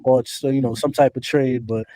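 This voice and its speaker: Laid-back man with a mellow voice. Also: medium pitch, fairly even intonation, moderate pace, dry recording, rough recording. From Trinidadian family. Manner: laid-back, slangy, friendly. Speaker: podcast co-host. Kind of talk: casual interview chat.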